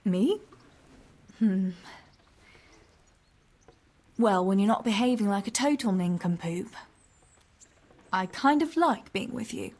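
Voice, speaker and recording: A woman's voice: medium pitch, big pitch swings, slow, pauses often, a little mellow. An actress playing a spirited young medieval village woman who teases and banters affectionately in a video game. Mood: teasing. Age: young adult. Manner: teasing, bantering. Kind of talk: naturalistic video game dialogue acting.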